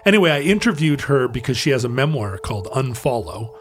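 A middle-aged man, co-hosting a podcast and telling stories casually in a halting, wry way. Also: mid-range voice, very animated, slight background noise. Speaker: podcast co-host. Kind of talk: casual conversational storytelling.

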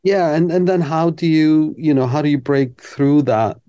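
A man's voice: fairly high pitch, normal speed, mellow, clear, resonant tone, lo-fi sound. A man, a composer guest speaking candidly and thinking aloud in a casual interview. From Iceland.

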